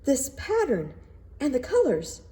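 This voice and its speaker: Woman as a flustered old royal minister, animated. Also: high voice, voice pushed up, heavily acted. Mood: gushing. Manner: gushing, hurried praise over private worry. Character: anxious, flustered old male royal minister, eager to please.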